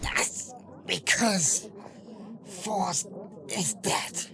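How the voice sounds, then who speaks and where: A grave, creaky fantasy leader. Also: female voice, mid-range voice, very slow, heavy background noise. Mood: grave, weary. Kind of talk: fantasy audio drama acting.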